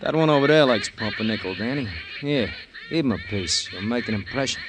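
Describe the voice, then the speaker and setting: A man's voice: mid-range voice, lively intonation, fairly quick, over music, natural voice. An actor playing a cocky, sardonic gangster henchman in 1950s radio crime drama, slangy and teasing with mock-wounded wisecracks. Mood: teasing, mock-sad. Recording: noisy old radio recording, honking ducks.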